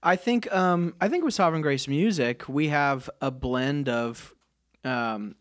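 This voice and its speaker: A man's voice: on the high side, unhurried. A man who sings tenor, asking questions in a casual podcast conversation. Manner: easygoing, frequent short agreements.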